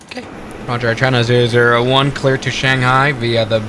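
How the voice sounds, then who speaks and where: A man's voice: mid-range voice, little pitch movement, a little fast, background noise. Chatty man streaming a flight sim. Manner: chatty, narrates each step aloud, self-correcting. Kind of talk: casual live-stream commentary.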